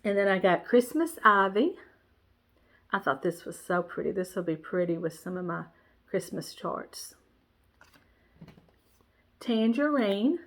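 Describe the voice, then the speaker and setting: A woman chats through a cross-stitch show-and-tell in a nasal, congested voice. Her talk rambles, full of fillers and restarts, and her pitch stays in a middle range. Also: expressive, unhurried, pauses often. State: stuffy, congested nose. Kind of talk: casual show-and-tell chat.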